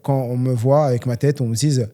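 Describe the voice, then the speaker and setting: A man's voice: medium pitch, steady tone, a little fast, mellow. A young man from France, talking earnestly and motivationally on a solo question-and-answer podcast, with many fillers. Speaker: podcast host and trainer.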